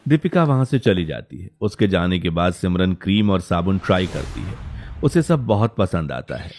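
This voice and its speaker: Low, expressive male story narrator. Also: normal speed, slight background noise.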